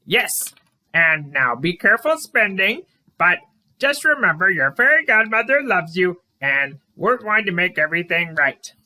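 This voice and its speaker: A woman's voice: fairly low pitch, sing-song, a little slow, bright, forceful tone, heavily acted. A woman voicing an odd, hairy fairy godmother in a puppet show, bossy but loving, speaking emphatically in backwards word order. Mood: reassuring.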